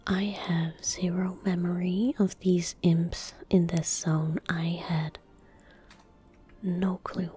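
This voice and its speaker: A young woman gives hushed ASMR commentary on a game in a soft, breathy whisper. Her delivery is very slow and gentle, with a mellow tone, right up at the mic over keyboard and mouse clicks. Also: on the low side, little pitch movement, soft background music. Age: young adult. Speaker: ASMR gaming streamer. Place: indoor room at a desk. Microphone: close desk microphone. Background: keyboard typing and mouse clicks.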